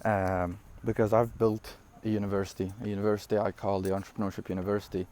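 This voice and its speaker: A man in his twenties from Syria, for whom English is not his first language, giving an energetic, rambling business pitch straight to camera with frequent fillers and laughs. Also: fast. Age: 20s. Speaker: online business coach. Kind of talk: casual direct-to-camera talk.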